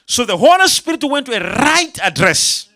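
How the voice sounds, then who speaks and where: High, bright-voiced male preacher. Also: very animated, normal speed. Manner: call-and-response, rising to shouts. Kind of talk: sermon.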